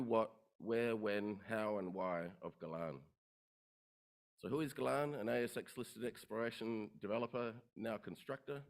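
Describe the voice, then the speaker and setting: A man delivers a conference pitch in a lowish voice. He moves briskly through rehearsed lists of numbers and points. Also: vocal fry. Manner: brisk, rehearsed, listing facts. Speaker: company presenter. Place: conference room.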